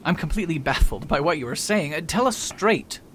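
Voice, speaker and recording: A man's voice: on the high side, lively intonation, a little fast, fairly bright tone, a little hiss or hum. A man playing a pompous skeptical doctor. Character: proud skeptical doctor-criminologist, impatient. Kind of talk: amateur radio drama acting.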